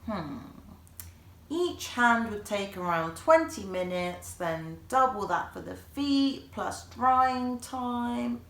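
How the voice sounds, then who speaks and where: A woman's voice: on the high side, lively intonation, slow pace, fairly bright tone, voice pushed up, exaggerated voice. Woman playing a precise little boy, echoey room. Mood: calculating. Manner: calculating, earnest. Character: precise, clever little brother, a young boy.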